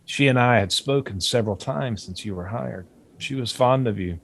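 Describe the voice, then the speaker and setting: A man reading the part of a doctor in a screen drama table read. He speaks in a measured, formal way and keeps his guard up. Beneath the polite surface he is condescending and quietly menacing. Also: medium pitch, creaky. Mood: measured, probing. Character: smooth, veiledly menacing male doctor, defensive and condescending.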